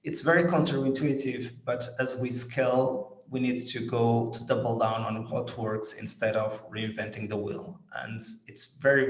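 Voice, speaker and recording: A man speaks as the host of a business interview podcast. His pitch is average for a male voice. The recording is roomy, with clear echo around his words. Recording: roomy, echoing sound.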